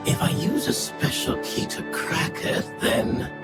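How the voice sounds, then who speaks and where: A woman playing a careful, earnest, analytical young adventurer in a video game, explaining things in a thoughtful, measured way. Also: close to an everyday voice. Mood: focused. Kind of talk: video game cutscene acting. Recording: loud music under the voice. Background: loud music.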